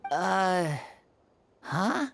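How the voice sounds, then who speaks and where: An actress voicing a wide-eyed, earnest young child in a video game, hesitant and halting, over music. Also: female voice, fairly low pitch, very animated, vocal fry, a little hiss or hum, exaggerated voice. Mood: confused. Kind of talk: scripted video game voice acting.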